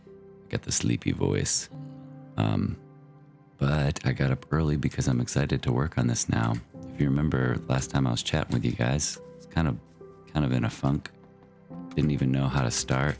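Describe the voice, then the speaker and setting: A man's voice: a bass voice, normal speed, creaky, darkish tone, soft background music. A man hosting his own channel, giving easygoing, chatty commentary on a Minecraft build, with plenty of loose fillers. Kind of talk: casual gameplay commentary.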